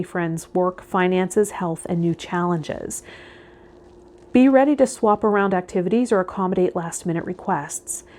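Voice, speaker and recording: A woman hosting a tarot vlog, speaking in a measured, instructive way straight to the listener. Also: mid-range voice, warm tone. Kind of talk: reading tarot card meanings aloud, then casual guided talk to viewers.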